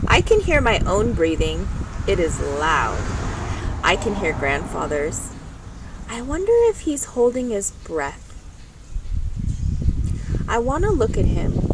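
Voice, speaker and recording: A woman reading a children's story aloud in a measured, expressive way, pausing between short phrases. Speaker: storybook reader. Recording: loud steady background noise, vehicle-like rumble.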